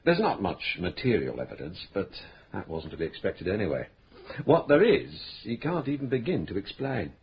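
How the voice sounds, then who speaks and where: An actor playing a calm, patient male police inspector in a radio drama, who explains things in a measured, polite way. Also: medium pitch, creaky, lo-fi sound, a little hiss or hum.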